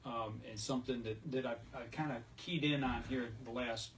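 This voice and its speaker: A man's voice: mid-range voice, steady tone, normal speed. A man hosting a casual hunting talk show in a folksy, conversational way. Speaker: podcast host. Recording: distant mic, noticeable background noise.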